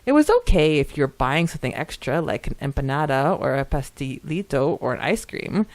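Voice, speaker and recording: A woman's voice: sits low, big pitch swings, normal speed, no room sound. A woman co-hosting a podcast, giggly and chatty as she talks casually and reads listener comments aloud. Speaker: podcast co-host.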